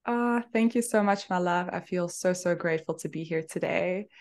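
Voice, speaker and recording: A woman's voice: fairly low pitch, normal speed. A young adult woman from Belgium, an astrologer and business mentor, talking as a guest in a casual, effusive interview chat over a remote call. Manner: warm, effusive, spiritual wording, soft laughs.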